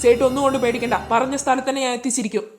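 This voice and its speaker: A woman's voice: high voice, little pitch movement, racing, over loud music, natural voice. A woman voicing a threatening male kidnapper boss who barks impatient orders in a cartoon dub. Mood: menacing. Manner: threatening, commanding. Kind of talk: amateur cartoon dub in Malayalam.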